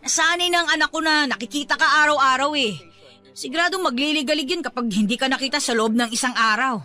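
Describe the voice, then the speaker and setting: A woman's voice: high voice, fast talker, pushed and bright, soft background music. An actress playing a stubborn, possessive young mother, demanding and protesting in Filipino radio drama style. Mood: upset, insistent. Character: stubborn, possessive young mother refusing to let her suitor go.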